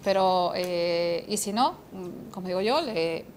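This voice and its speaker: A woman's voice: fairly low pitch, slow pace, slight background noise. Chatty young woman from Galicia. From Vigo, Galicia. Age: young adult. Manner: lively, chatty, many restarts. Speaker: startup co-founder and CEO. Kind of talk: casual debate talk. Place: TV studio.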